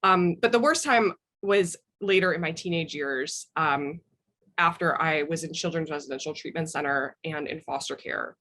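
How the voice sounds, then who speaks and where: A young American woman answers as a podcast guest, recounting personal experience. Her voice sits on the low side for a woman. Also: normal speed, pushed and bright. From Minnesota, United States. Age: young adult.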